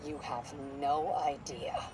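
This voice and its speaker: A low, intense female captain talks in clipped phrases, driven and frustrated. Faint noise sits under her voice. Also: slow.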